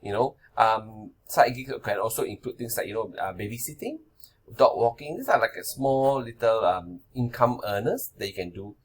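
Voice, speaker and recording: Husky guy around forty explaining investing off slides, keeps saying "right? okay?". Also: lowish voice. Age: 40s. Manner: loose, repetitive, checks in with "right?" and "okay?". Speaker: finance presenter. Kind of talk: casual slide-based money lesson.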